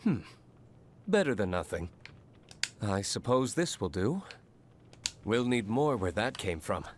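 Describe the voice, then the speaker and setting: A man's voice: fairly low pitch, very animated, measured pace, background noise. An actor playing an indifferent, world-weary man in a video game, dry and unimpressed.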